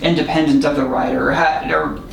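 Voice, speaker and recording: A man with a slightly high voice talks as a guest filmmaker. He explains himself earnestly in a conversational way. The recording is roomy, a bit off-mic, with faint noise. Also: fairly even intonation, a little fast, gravelly, recorded from a distance. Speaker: film director and writer, podcast guest. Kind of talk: casual interview chat. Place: echoey studio room.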